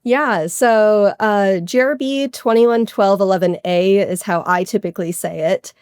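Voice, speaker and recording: A woman's voice: mid-range voice, measured pace, darkish tone, clean tone, dry recording, studio quality. A woman scientist explaining her research informally and with enthusiasm in a conversational interview. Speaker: guest scientist, astrophysics researcher.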